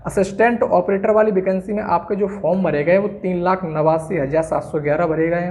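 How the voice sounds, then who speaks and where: A man's voice: high-pitched, a little mellow. A man presenting an exam-prep explainer, going through it didactically, point by point. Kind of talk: explainer addressed to exam candidates.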